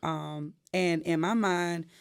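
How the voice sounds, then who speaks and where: A woman's voice: slow, creaky, clean tone. A woman, a gospel singer talking casually as a podcast guest and acting out what people said to her. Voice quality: deep voice. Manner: animated storyteller, acts out quotes.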